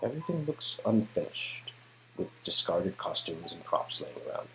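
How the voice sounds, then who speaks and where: Low-pitched man narrating an interactive romance. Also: big pitch swings, moderate pace, a bit bright, faint music behind, poor audio. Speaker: narrator and player character reader. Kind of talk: expressive second-person story narration with playful dialogue.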